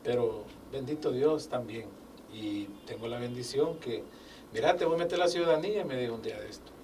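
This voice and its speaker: An older man, a business owner telling his life story as a guest in a casual interview. He speaks with animated, faith-filled phrasing and acts out quoted dialogue. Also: medium pitch, a little fast. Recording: distant mic with faint background noise.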